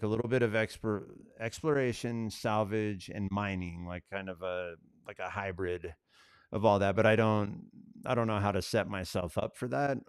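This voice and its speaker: A man's voice: lowish voice, smooth, no echo, studio quality. A man chatting casually on a gaming livestream, laid-back and musing aloud to viewers. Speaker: live streamer.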